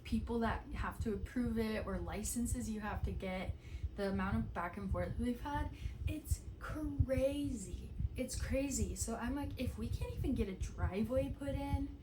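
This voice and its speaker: A woman in her late twenties talking softly and thoughtfully at home, often restarting her sentences. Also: medium pitch, noisy background. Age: 20s. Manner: soft-spoken, thoughtful, with many restarts and "like". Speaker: vlogger. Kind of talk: casual reflective chat to camera.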